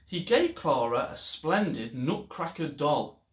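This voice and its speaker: A man in his thirties reads a children's story slowly in an echoey living room. His voice is fairly high and very animated.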